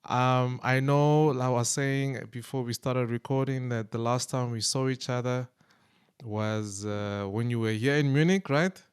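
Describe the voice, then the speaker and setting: A male podcast host with a smooth, resonant voice interviews his guest. He speaks hesitantly, restarting phrases and filling pauses with um and uh. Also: medium pitch, fairly even intonation. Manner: hesitant, many restarts and fillers. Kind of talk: conversational interview.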